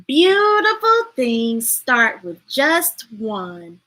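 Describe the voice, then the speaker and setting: A woman hosting a children's storytime, reading aloud in an expressive, playful, emphatic way with chatty asides to viewers. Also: high voice, extremely slow.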